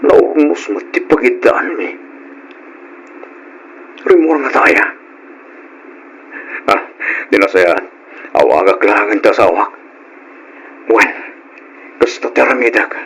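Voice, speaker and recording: Troubled low-voiced husband, noisy radio drama. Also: male voice, sing-song, takes it slowly, vocal fry, long pauses, fairly bright tone, full character voice. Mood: worried. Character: troubled working husband Harold, worried and placating. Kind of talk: Ilocano radio drama acting.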